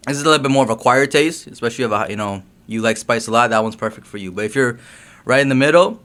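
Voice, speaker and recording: A man's voice: mid-range voice, fast. A young man who grew up in Canada, chatting casually as an interview guest, loose and full of fillers. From Guyana, raised in Canada. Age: young adult. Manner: relaxed, lots of fillers like um and you know. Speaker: guest, hot sauce business owner.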